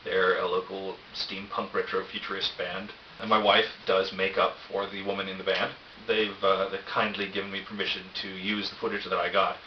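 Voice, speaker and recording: A man with a low voice rambles casually through a vlog, gently poking fun at himself. The recording is dull and roomy, picked up by a cheap camera mic. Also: level tone, normal speed, far from the mic, a little hiss or hum. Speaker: vlogger. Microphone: cheap camera microphone.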